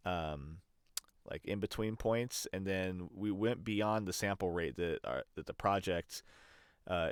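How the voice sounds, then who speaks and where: Low-pitched man, clear dry tutorial voice. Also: dry recording. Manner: hesitant, thinking aloud. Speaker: audio production tutorial presenter. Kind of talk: casual explanatory screen demonstration.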